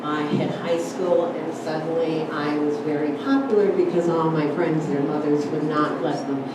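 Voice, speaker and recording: A woman's voice: low-pitched, fairly even intonation, moderate pace. An older woman born in Germany, telling her family's immigration story at a church forum in a conversational way, with many "you know"s and "uh"s. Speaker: guest speaker at church forum. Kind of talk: personal storytelling talk. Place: church hall. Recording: roomy hall, distant mic, background noise.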